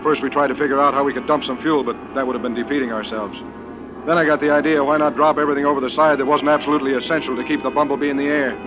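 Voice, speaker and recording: An actor playing a calm, decisive bomber colonel in a terse, commanding way, in old-time radio drama style. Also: male voice, mid-range voice, very fast, music in the background, natural voice. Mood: measured resolve. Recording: tinny band-limited vintage radio, engine noise.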